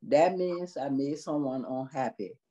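A middle-aged woman with a Liberian accent talks slowly and earnestly over a video call. Her voice is dark and mellow. She takes her time over each thought, like someone sitting in a small room and telling her story. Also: very deep voice, animated. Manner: slow, earnest, measured. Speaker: nursing assistant in long-term care.

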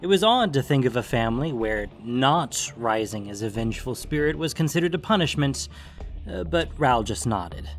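A man's voice: medium pitch, animated, normal speed. A man reading scripted fiction as an audiobook-style narrator. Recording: music bed under the voice. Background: guitar music.

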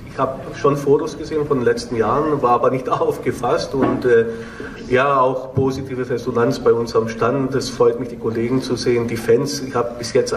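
Male panel guest, mid-range voice, informal talk, reverberant room with slight noise. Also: mid-range voice. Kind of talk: casual panel answer. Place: theatre stage, indoors. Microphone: handheld mic, recorded from audience. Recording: roomy, distant stage recording with noise.

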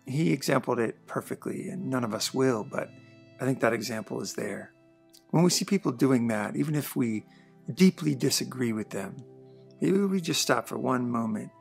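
A man in his forties speaks earnestly to camera in a studio, his voice gravelly with a husky edge. He moves between forceful points and low, quiet asides. Faint music plays underneath. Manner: earnest, conversational, with emphatic bursts and quiet asides. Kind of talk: reflective monologue to camera. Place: dark studio backdrop, indoors.

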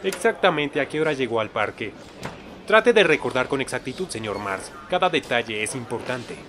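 Probing police lieutenant, acted by a man, lively intonation. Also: medium pitch, normal speed, background noise, natural voice. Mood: probing, stern. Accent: Latin American. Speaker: fan dub voice actor. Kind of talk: dramatic video game dub.

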